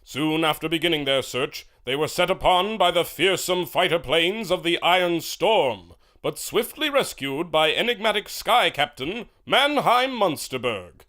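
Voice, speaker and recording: A man's voice: on the high side, expressive, a little slow, hardly any pauses, sharp tone. A male announcer narrating in the grand, stirring manner of a 1940s radio serial.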